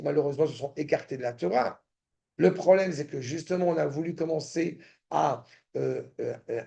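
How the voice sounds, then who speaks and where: Male class teacher, high gravelly voice, stop-start delivery that checks in with the room. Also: a little mellow. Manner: halting, repeats words, checks in with listeners; turns insistent and indignant. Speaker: Torah teacher. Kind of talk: lecture in a study class.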